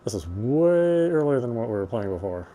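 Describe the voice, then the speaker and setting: A man's voice: mid-range voice, big pitch swings, moderate pace, warm tone, a little hiss or hum. A man giving casual gameplay commentary, thinking aloud in a chatty way. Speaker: game streamer and host.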